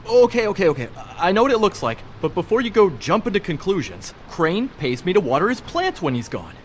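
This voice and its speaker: An actor playing a slippery small-time thief in a video game, talking in glib, ingratiating, hedging patter. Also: male voice, high voice, big pitch swings, brisk, a little hiss or hum. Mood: nervous pleading.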